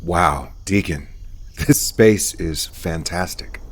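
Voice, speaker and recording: Deep-voiced gentle man. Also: slow, noisy background. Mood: admiring. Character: gentle, considerate man back in hometown. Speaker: actor. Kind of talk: audio soap opera acting.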